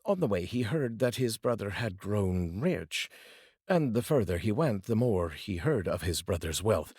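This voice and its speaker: A man's voice: sits low, darkish tone, slightly rough, no room sound, clean studio sound. A man narrating a folk tale as a storyteller, reading aloud expressively.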